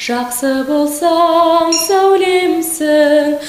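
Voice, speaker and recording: A woman singing a slow lullaby unaccompanied. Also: extremely high pitch, echoey room, faint music behind.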